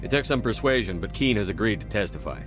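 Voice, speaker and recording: A man's voice: on the low side, animated, quick pace, music in the background, close to an everyday voice. A man playing a determined, direct military commander in a video game, speaking in a matter-of-fact way. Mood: persuasive. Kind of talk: video game dialogue acting.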